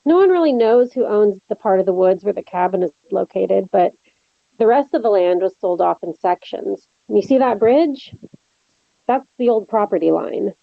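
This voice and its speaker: A woman acts a wry young friend in a horror drama, teasing but protective. Her mid-pitched voice has plenty of creak, and the recording is muffled and lo-fi. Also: lively intonation, moderate pace, no room sound. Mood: matter-of-fact. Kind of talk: table read of a horror film script. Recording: dull, lo-fi microphone sound.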